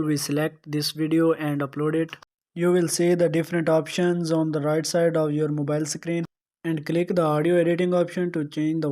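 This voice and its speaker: A narrator reading a scripted step-by-step tutorial in a plain, measured instructional way. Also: medium pitch, dark tone.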